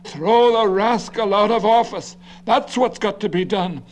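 An actor playing a combative, hot-tempered old city politician who rants and blusters indignantly. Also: male voice, sits high, a little slow. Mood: ranting.

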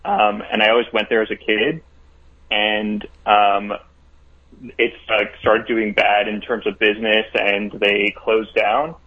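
Man, phone-in caller, husky low voice, rambling, tinny phone line. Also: flat delivery, measured pace. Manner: rambling, filler-heavy. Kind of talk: phoned-in story.

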